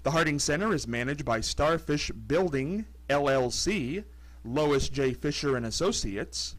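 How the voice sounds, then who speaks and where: A man reading a scripted local radio ad in an upbeat way. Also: measured pace. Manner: upbeat read with lively pitch. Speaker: ad announcer.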